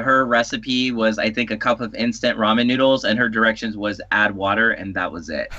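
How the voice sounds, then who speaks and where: A man's voice: mid-range voice, sharp tone, no room sound. A man co-hosting a casual fan chat podcast, on a slightly dull lo-fi track. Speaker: podcast co-host.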